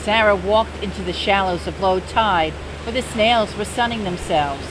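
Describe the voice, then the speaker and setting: Older lady reading a kids picture book, slow and gentle, middle-pitched voice, constant outdoor noise behind her. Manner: gentle, unhurried bedtime-story delivery. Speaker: storybook reader. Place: outdoors by the sea.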